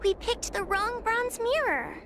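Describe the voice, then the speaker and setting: Very high, timid young girl. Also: unhurried, noisy background. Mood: nervous, hesitant. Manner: hesitant, stammering. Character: timid, anxious young girl spirit-hunter. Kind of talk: video game character acting.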